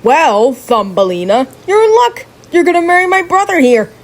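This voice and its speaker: A woman voicing a pushy female frog who bosses a kidnapped girl into marrying her brother in an animated storybook. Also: high-pitched, normal speed, voice pushed up. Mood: bossy. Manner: bossy, brushing off objections.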